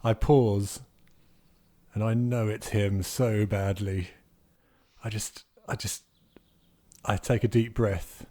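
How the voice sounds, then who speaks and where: A man's voice: fairly low pitch, steady tone, slow pace, pauses often, dark tone. A man casually improvising narration of his character's actions as a player in a roleplaying game.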